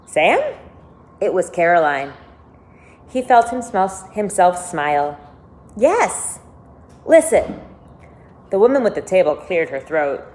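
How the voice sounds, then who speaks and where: A woman teacher reading a children's novel aloud expressively and pausing to think aloud for her listeners. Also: fairly low pitch, animated, a little mellow. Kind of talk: read-aloud storytelling with commentary.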